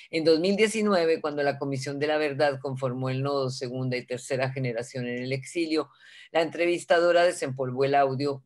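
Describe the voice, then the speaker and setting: A man introducing short films to an audience, measured and hesitant, with frequent pauses and restarts. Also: medium pitch. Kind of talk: spoken introduction at a panel.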